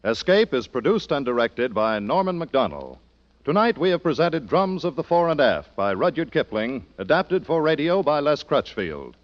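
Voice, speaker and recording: A man's voice: on the high side, very animated, normal speed. An American man announcing formally in a polished 1940s radio style. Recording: muffled old radio, faint music.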